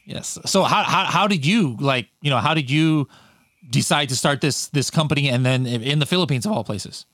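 A man's voice: medium pitch, animated, moderate pace, a bit bright, no room sound, clean studio sound. A man hosting a podcast in casual interview chat, upbeat and talkative, with frequent restarts. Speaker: podcast host.

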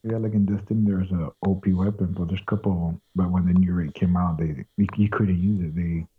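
A man's voice: sits low, steady tone, fast talker, slightly rough, narrow, tinny audio. A laid-back man in casual gaming chat, mostly giving short replies.

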